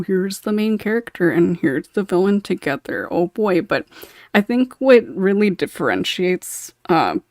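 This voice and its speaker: Female podcast host, slightly low voice, chatty and giggly, very dry recording. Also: no room sound. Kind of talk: casual panel discussion.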